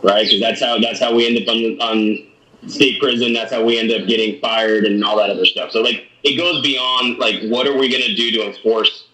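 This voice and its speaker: A man, a sheriff's deputy, briefing a community meeting in a halting way, with many restarts and fillers. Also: medium pitch, fairly even intonation, crowd noise. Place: meeting room. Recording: echoey room.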